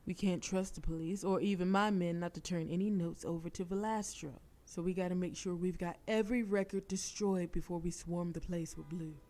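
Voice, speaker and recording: A woman's voice: fairly low pitch, fairly even intonation, a little fast, few pauses, dark tone, natural voice. A woman voicing a cocky, flirtatious female mafia boss who gives orders in a smug, teasing way. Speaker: audio roleplay performer. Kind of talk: scripted audio roleplay.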